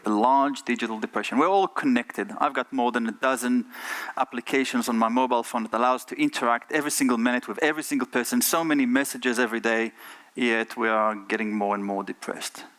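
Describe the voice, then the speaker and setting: An Israeli-accented man of middle age speaks from a conference stage at an ordinary pitch. He talks earnestly and keeps putting rhetorical questions to the audience. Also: fairly even intonation, fairly quick, fairly bright tone. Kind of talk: keynote talk.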